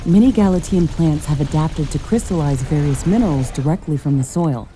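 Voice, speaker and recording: Low-voiced, keen scientist-commando played by an actress, Ashley. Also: female voice, a little fast, dark tone, natural voice, poor audio. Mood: fascinated, analytical. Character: Ashley, eager female scientist-commando in a mech suit. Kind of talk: scripted video game dialogue. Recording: music bed behind the voice.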